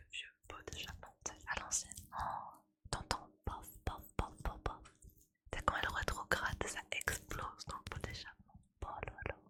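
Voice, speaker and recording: A woman whispering breathily in a casual, playful ASMR chat, with slangy, colloquial speech as she streams to her viewers. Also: sharp tone.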